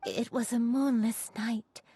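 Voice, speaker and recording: An actress playing a frail, gentle, sorrowful grandmother in a video game, speaking in a hushed, halting, tender way. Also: on the high side, steady tone, a little hiss or hum. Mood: haunted, hushed. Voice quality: breathy, hushed. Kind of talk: dramatic video game dialogue. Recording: music under the voice.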